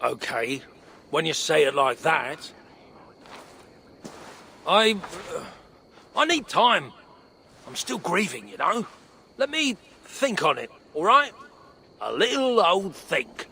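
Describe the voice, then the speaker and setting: A gruff British dwarf broker in a fantasy video game. He is blunt and irritable, with a sharp, high-set, gravelly voice that swings widely in pitch. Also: very animated, takes it slowly, long pauses, a little hiss or hum, full character voice. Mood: hesitant, uneasy. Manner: blunt, irritable, swearing slang. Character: gruff, grumbling, grieving dwarf broker, crude-tongued. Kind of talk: video game cutscene acting.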